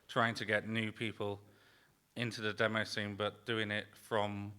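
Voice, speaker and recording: A man talks informally at a roundtable in a low, very flat-pitched voice. It is bright and clean-edged, though his delivery is halting, with phrases left unfinished. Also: smooth. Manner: halting, trails off mid-phrase. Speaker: demoscene party organizer on a panel.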